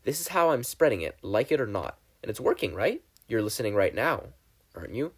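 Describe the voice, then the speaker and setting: Man voicing a defiant young villain, swinging pitch. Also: big pitch swings, brisk, breathy edge. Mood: defiant, earnest. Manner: earnest, challenging, rhetorical. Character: defiant young male villain preaching rights in a video.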